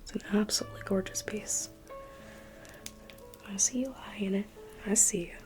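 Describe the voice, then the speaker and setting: A woman's voice: medium pitch, fairly even intonation, moderate pace, music in the background. A young woman whispering an ASMR roleplay as a museum guide, playful and teasing like a salesperson.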